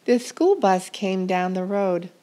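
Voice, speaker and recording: A woman reading a children's story aloud in a deliberate, expressive storytelling manner. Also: medium pitch. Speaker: storybook reader.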